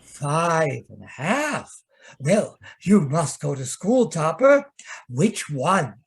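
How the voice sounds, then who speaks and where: A man's voice: on the high side, big pitch swings, takes it slowly, vocal fry, breathy edge, full character voice. A man playing a kindly, gushing, fussy Santa's helper in a radio drama. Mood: jolly, doting. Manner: effusive, fussy. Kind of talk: old-time radio drama acting.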